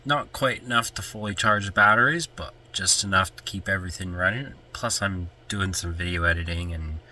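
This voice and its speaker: A man's voice: low voice, fairly quick, bright, forceful tone, poor audio. A man with a stuffy, congested nose, chatting casually and rambling as he vlogs from his van life travels. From Canada. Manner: chatty, rambling, easily sidetracked. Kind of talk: casual vlog chat to camera.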